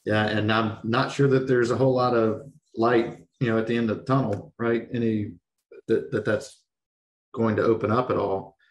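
Man, middle-aged, hoarse podcast host, easygoing and rambling, video-call sound. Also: lowish voice, moderate pace. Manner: relaxed, rambling, with restarts. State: hoarse, losing his voice. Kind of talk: casual podcast interview. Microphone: video call webcam.

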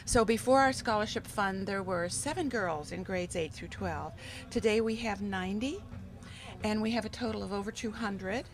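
A woman's voice: medium pitch, normal speed. A woman speaking in an upbeat, proud way about her charity's results. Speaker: charity representative. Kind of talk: festival interview.

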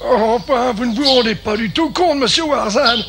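Cartoon old explorer professor, very high creaky voice, unhurried, fussy and courteous, music behind. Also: male voice, very noisy. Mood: flustered. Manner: fussy, polite, exclaiming.

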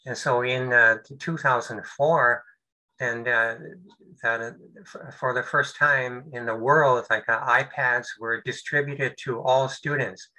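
An older man giving a webinar talk over a video call, halting and full of fillers and restarts. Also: mid-range voice, fairly even intonation, sharp tone, poor audio. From United States. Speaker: presenter. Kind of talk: webinar presentation.

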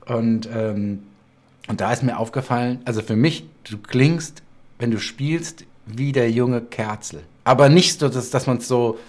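Man, thirties to forties, mid-pitched voice, interview host, enthusiastic, halting, casual chat. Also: a little mellow. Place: small home studio room.

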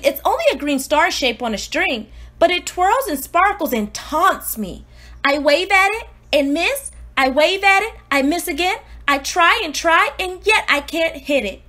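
A woman voicing a precocious, self-important newborn baby diarist, earnest and exclamatory, in an expressive storybook read-aloud. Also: high-pitched, exaggerated voice. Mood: frustrated, indignant.

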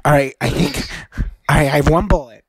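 A man's voice: a little high, brisk, breathy edge, dry recording. A man in his twenties doing improvised comedy role-play on a podcast, recorded at home on a video call.

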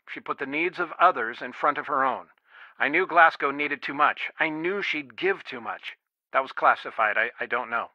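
A man's voice: a little high, no echo, dull audio, natural voice. A man voicing a stern, worried middle-aged father and lawyer in a video game, earnest, firm and protective. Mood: wistful, then guarded, grave. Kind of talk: video game voice acting, one side of phone conversations.

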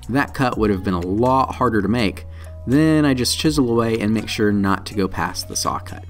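A man in his thirties, a channel host, narrating a woodworking build in a plain, practical and self-deprecating way. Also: medium pitch. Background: soft guitar music.

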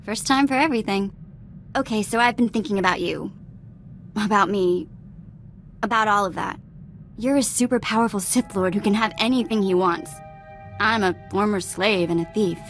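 A woman playing a young, self-doubting former slave and thief in a video game, talking in a halting, candid way. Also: medium pitch, soft background music, natural voice. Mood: nervous, disbelieving.

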